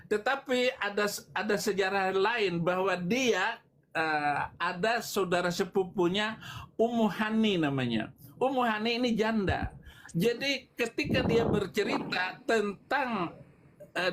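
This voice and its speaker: A man giving an extemporaneous religious polemic talk as a preacher on a livestream, explaining in a halting way. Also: sits high, fairly bright tone. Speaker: preacher answering questions on a livestream.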